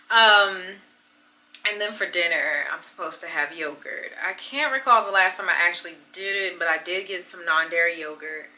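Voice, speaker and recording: A woman's voice: lowish voice, bright, forceful tone. A woman in her thirties chatting candidly to the camera in a casual vlog, often laughing. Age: 30s. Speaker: vlogger.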